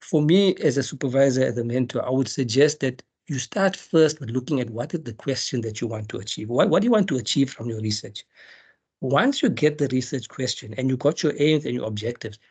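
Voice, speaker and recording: Middle-aged man from South Africa, a lecturer with a slightly husky voice, casual and jokey, over a video-call mic. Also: mid-range voice, a little mellow. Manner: chatty, jokey teacher, asks rhetorical questions. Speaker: dental researcher and lecturer. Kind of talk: webinar lecture.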